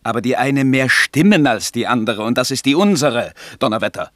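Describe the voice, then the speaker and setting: An actor as a bossy campaign manager in a sixties radio drama. He blusters urgently, his pitch jumping about, in a dry studio sound. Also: expressive, a little fast, a bit bright, no echo, close to an everyday voice. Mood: exasperated. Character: bossy, excitable male political campaign manager. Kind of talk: 1960s German radio play acting.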